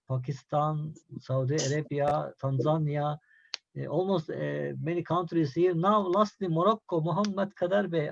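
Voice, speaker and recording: A man moderating an online business meeting on a video call, halting, with many fillers and restarts. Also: on the high side, gravelly, darkish tone. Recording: dull lo-fi call audio, dry.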